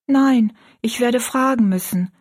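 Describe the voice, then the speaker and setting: A woman voicing a timid, earnest young orphan girl in an audiobook, speaking in a soft, pleading manner. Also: a little high, slow, pitched above the performer's own voice. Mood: determined. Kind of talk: audiobook character voice.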